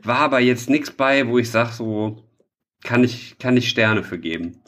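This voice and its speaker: A man's voice: mid-range voice, lively intonation, unhurried, fairly bright tone. A man chatting casually as a podcast co-host, often searching for words and joking. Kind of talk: casual chat.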